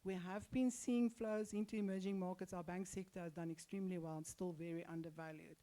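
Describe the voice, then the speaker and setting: A woman panelist giving measured, explanatory remarks on investing in a panel discussion. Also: lowish voice, fairly even intonation, warm tone.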